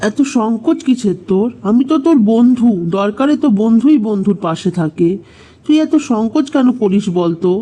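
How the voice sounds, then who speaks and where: A woman's voice: a little high, animated, moderate pace, mellow, lightly acted. Woman as a caring, chiding young roommate. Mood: reassuring. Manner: chiding, affectionate, familiar. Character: caring, reassuring young female roommate and college friend.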